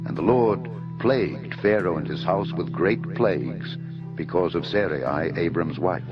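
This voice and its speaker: Deep-voiced man solemnly narrating scripture. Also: a little slow. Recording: dull lo-fi audio under music. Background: background music.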